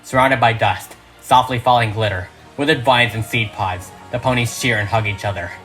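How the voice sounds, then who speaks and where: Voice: mid-range voice, normal speed, a bit bright, faint music behind, low-quality recording. A narrator reading a script aloud in a dramatic, emphatic, theatrical style.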